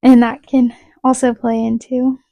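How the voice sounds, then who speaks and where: A woman's voice: on the high side, flat delivery, measured pace, warm tone, dry recording. A young woman co-hosting a podcast in casual chat, chatty and steering the talk toward practical tips. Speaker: podcast co-host.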